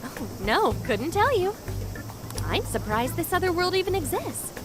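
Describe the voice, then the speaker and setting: Bubbly, swooping eccentric scientist. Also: female voice, extremely high pitch, sing-song, a little slow, heavily acted. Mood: fascinated, musing. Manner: breezy, enthusiastic, chatty. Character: bubbly, eccentric adult woman AI researcher. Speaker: voice actor in game dub. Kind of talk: animated English game dub acting. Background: music.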